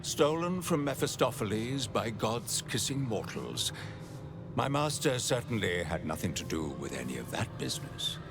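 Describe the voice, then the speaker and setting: An actor playing an obsequious, lewd archivist of hell in a video game, with florid, formal, theatrical phrasing. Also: male voice, lowish voice, very animated. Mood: smooth, officious. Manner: fawning, florid, formal, drawn-out. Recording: game music behind. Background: music.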